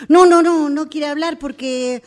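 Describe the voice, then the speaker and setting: Actress as long-suffering Argentine wife, high voice. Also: female voice, unhurried. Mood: exasperated. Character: exasperated, long-suffering wife of an obsessive man. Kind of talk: radio drama acting.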